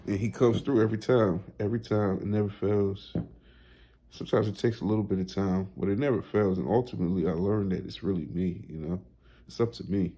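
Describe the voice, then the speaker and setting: A man in his thirties talks straight to his viewers in a casual motivational vlog. His voice sits low and mellow. His speech is loose and slangy, and he keeps repeating himself with tags like "you know" and "right". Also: fairly even intonation, brisk. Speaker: weight loss vlogger.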